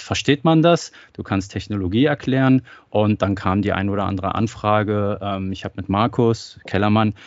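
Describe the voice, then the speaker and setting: A man's voice: lowish voice, no echo. A man who grew up in Germany, speaking as a podcast guest in a casual interview, hesitant with many fillers and restarts. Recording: dull lo-fi dry sound.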